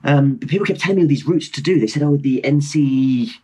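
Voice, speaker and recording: A man in his twenties with a husky voice, telling stories casually and excitedly on a podcast. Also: medium pitch, a little fast. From London, England. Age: 20s. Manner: excitable, re-enacts dialogue. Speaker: podcast guest, motorcycle traveller. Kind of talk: casual animated storytelling.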